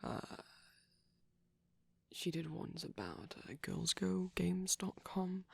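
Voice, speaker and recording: A close, dry recording of a man playing a shy young vampire boyfriend in an ASMR roleplay. His voice is soft and breathy with a creaky edge, often dropping to a whisper. He is gentle and nervous, rambling hesitantly and apologetically. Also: on the high side, no echo, exaggerated voice. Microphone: close microphone.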